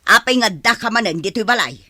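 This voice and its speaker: An actress playing a brash, irritable gambling mother, loud and scolding, in a radio drama. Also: medium pitch, animated, fast talker, no echo, clean studio sound. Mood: irritated.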